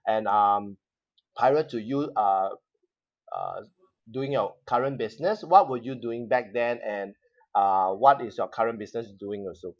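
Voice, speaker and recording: A man's voice: fairly low pitch, a little slow. Guy hosting a live show, stumbles and repeats words a lot, dull muffled audio. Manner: hesitant, many repeated words and fillers. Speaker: host. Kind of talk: live online talk show.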